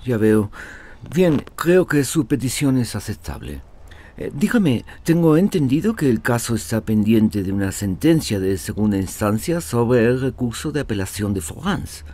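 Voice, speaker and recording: An actor playing a polite, reserved French diplomatic envoy in an audio drama, with a husky, mellow voice. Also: male voice, medium pitch, very animated, normal speed. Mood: polite, probing. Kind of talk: scripted audio drama dialogue.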